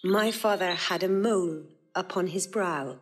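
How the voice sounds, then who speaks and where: Slow, solemn young noblewoman played by an actress. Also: fairly low pitch, noisy background, close to an everyday voice. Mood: solemn wonder. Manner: solemn, lyrical verse delivery. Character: sincere young noblewoman disguised as a page boy. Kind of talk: classical Shakespearean stage acting.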